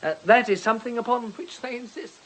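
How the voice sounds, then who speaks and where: An actor playing a courteous gentleman confidant in a radio drama, polite, reasoning and gently coaxing. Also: very high voice, fairly quick, slight background noise. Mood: polite, breezy.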